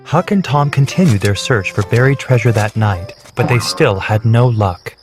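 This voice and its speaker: A man narrating a children's storybook with clear, measured, steady reading. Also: mid-range voice. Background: background music.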